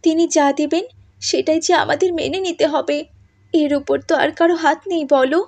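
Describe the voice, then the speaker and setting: A woman's voice: high voice, fairly even intonation, fairly quick, creaky, darkish tone. A woman voicing an emotional, earnest young wife and mother in a cartoon. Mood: gentle reasoning. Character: anguished young village wife defending her daughter.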